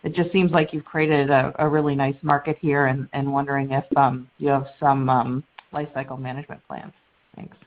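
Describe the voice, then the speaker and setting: A woman's voice: a bass voice, little pitch movement, normal speed. A woman calling in as a financial analyst, asking questions on an earnings call in polite, tentative phrasing. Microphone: telephone. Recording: tinny, narrow phone line.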